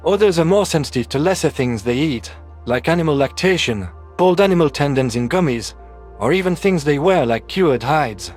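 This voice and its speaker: A man's voice: a little high, lively intonation, moderate pace, a little mellow, soft background music. A man voicing an earnest male four-legged alien doctor who explains things carefully in an audio story. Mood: explaining.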